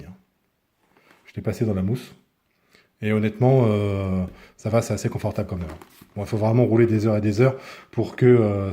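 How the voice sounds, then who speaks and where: A man presents gear in an informal, hands-on tutorial. His voice sits fairly low and has a very mellow, dark tone. He talks colloquially, often hesitating as he looks for the right word. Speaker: product reviewer and motorcyclist. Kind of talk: casual hands-on tutorial and review.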